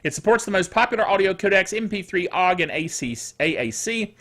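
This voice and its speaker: A man hosting a casual tech news show in a chatty, jokey, digressive way, with a throat that is getting rough. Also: high-pitched, lively intonation, brisk, a bit bright. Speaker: technical director for radio stations. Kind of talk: casual scripted tech news commentary with asides.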